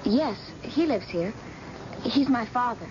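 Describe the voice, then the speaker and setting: A woman's voice: on the high side, expressive, takes it slowly. An actress playing a poised, coolly playful young woman, somebody's grown daughter, in a vintage American radio drama. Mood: poised, dry. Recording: dull, noisy old radio broadcast.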